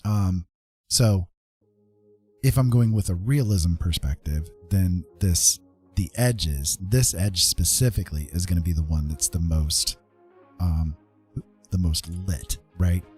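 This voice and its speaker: A man hosting a casual art livestream, thinking aloud in an unhurried, tentative way and often checking in with listeners. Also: deep voice, darkish tone, soft background music. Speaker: livestream host, digital artist.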